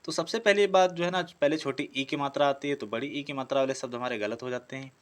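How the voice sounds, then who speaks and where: A man's voice: mid-range voice, fast talker. A man teaching an exam-prep class, explaining step by step and answering his own questions. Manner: explanatory, poses questions and answers them himself. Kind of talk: exam lesson, walking through practice questions.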